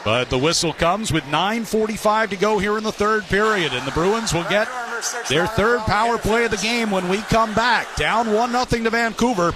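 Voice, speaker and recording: A man with a fairly high voice calls a live hockey game as a radio play-by-play commentator. Loud arena noise surrounds him throughout. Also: lively intonation, moderate pace. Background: hockey arena ambience.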